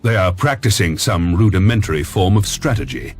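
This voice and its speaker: An actor playing a stern, commanding space navy lord admiral in a game, giving formal orders with husky authority. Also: male voice, sits low, lively intonation, fairly quick. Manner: formal, authoritative military briefing. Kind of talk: video game briefing. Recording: music underneath.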